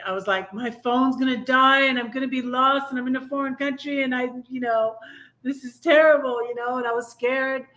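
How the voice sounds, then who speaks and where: A woman hosting a casual live chat, telling personal stories in a halting, rambling way. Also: on the high side, fairly bright tone, poor audio. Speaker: live stream host.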